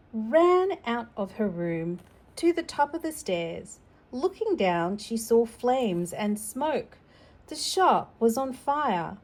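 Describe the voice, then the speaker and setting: A woman's voice: a little high, a little mellow. A woman reading a picture book aloud to a young child, expressively, with chatty asides. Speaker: storytime reader.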